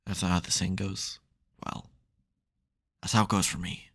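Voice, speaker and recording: A man playing a supervillain nemesis who is secretly soft, teasing dryly and getting flustered in an intimate audio roleplay. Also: low voice, level tone, normal speed. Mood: reassuring, sheepish. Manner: dry teasing, hesitant, mock-grudging.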